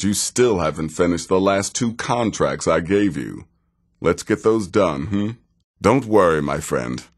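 An actor playing a sardonic, world-weary assassin in a fantasy video game, with a dry, deliberate delivery. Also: male voice, extremely low pitch, heavily acted. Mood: gruff, measured. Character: sardonic, world-weary veteran male assassin of a dark guild.

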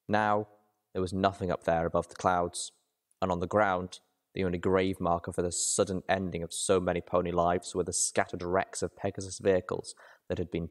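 A man reading a scripted story aloud as its narrator. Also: low-pitched. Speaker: narrator, reader.

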